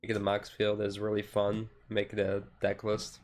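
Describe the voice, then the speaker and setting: A man's voice: fairly low pitch, completely flat delivery, normal speed. A man streamer chatting casually and rambling in a self-deprecating way as he talks to his live viewers. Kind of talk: casual live-stream chat while sorting cards.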